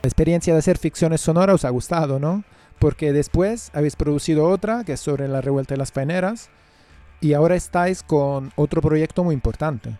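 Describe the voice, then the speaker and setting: Mellow higher-pitched male radio host from Spain. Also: a little fast, dry recording, faint music behind. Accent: Spanish from Spain. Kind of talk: conversational radio interviewing.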